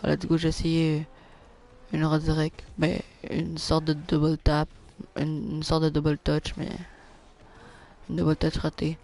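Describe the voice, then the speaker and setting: A young man with a Québécois French accent, live-streaming a car soccer game, chatting with viewers and reacting loudly to plays. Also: on the high side, measured pace, gravelly. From Quebec. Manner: excitable, groans and repeats "non" at misses. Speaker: gaming streamer. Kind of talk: live gameplay commentary reading chat.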